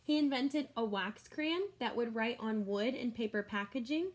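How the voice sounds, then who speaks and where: Female teacher, higher-pitched, expressive picture-book read-aloud for children, chatty and explanatory, speaks directly to listeners. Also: moderate pace.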